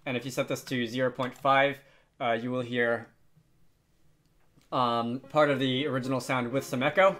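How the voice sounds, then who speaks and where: A man hosting a casual software tutorial, thinking aloud hesitantly and adding wry, self-deprecating asides. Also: mid-range voice, level tone, moderate pace, long pauses. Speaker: tutorial host.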